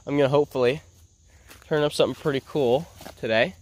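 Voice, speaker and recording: A man's voice: medium pitch, quick pace. Congested young man vlogging in the woods. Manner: chatty, excited, self-narrating while searching. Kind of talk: casual outdoor vlog. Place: woods outdoors. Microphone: head-mounted action camera.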